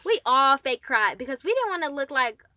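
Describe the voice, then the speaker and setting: High bright female voice, sassy n emphatic, just chatting thru a story, super dry sound. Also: big pitch swings, moderate pace, no echo. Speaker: storyteller and host addressing an audience. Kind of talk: casual personal storytelling with rhetorical questions.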